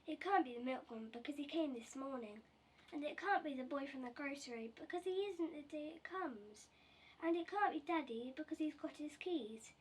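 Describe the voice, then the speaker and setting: A woman's voice: sits high, lively intonation, normal speed. A woman voicing a polite, practical mother from a children's storybook, recorded at a distance. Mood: polite, wondering. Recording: distant mic with faint background noise.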